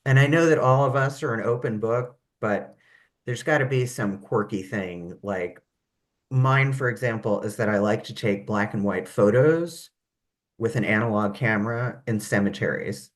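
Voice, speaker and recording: A woman's voice: a bass voice, normal speed. A woman hosting a podcast in casual chat, with a playful, self-deprecating manner. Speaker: podcast host.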